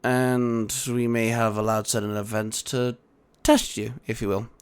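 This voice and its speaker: A man voicing a composed, secretive overseer in an audiobook, speaking in a cool, deliberate way. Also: medium pitch, level tone, clear, resonant tone, lightly acted. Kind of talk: audiobook character acting.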